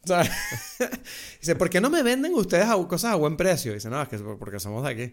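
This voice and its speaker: Chatty Canarian man, fairly high voice. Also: brisk. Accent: Canarian Spanish. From Canary Islands. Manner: animated, acts out quoted lines, laughs often. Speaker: podcast co-host. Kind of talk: casual film podcast chat.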